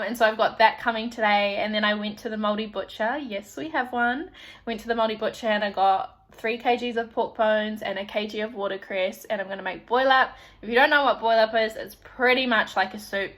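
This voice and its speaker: A woman's voice: mid-range voice, a bit bright. A young woman with a New Zealand accent, chatting casually to camera in a rambling way with lots of fillers. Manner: chatty, rambling, frequent "like" and restarts. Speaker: vlogger.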